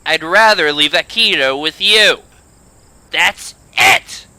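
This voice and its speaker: A man playing a brash, hot-headed teenage boy in a radio drama, quick to anger but soft-hearted. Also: on the high side, no echo, full character voice. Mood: angry, taunting. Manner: brash, combative. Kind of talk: amateur anime-style radio drama acting.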